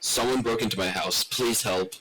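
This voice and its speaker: A man's voice: fairly low pitch, steady tone, moderate pace, lightly acted. A man playing a frightened homeowner, hesitant and pleading, on a noisy emergency call in a scripted drama. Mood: scared, pleading. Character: frightened male homeowner hiding from an intruder.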